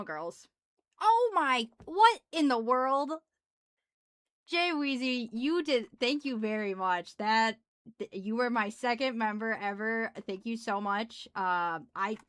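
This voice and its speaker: A woman's voice: a little high, fairly bright tone, no echo. Bubbly Midwestern woman live streaming. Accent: Midwestern American. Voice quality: gruff. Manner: bubbly, thanking viewers, laughs often. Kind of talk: casual live stream chat. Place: small home office.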